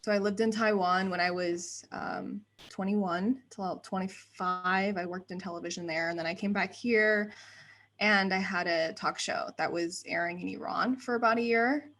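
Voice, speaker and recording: A woman in her thirties from the United States talks as a guest on an interview show. Her voice sits at a middle pitch. She is chatty and laughs easily, filling her thoughts with "um" and "like". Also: steady tone, moderate pace, fairly bright tone. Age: 30s. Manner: chatty, laughs often, many fillers. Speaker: guest, actor and writer. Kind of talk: casual interview chat.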